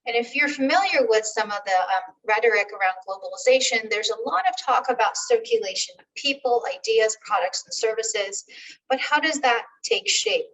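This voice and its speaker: A middle-aged woman from Turkey who works as a professor, giving a measured academic talk in a webinar with frequent "um" fillers. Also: on the high side, fairly bright tone, distant mic, rough recording.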